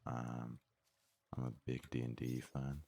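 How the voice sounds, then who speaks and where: A man with a deep, rough, gravelly voice gives soft-spoken, unhurried ASMR commentary as a gaming host. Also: level tone. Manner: soft-spoken, low-key, unhurried musing. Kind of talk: ASMR let's play commentary.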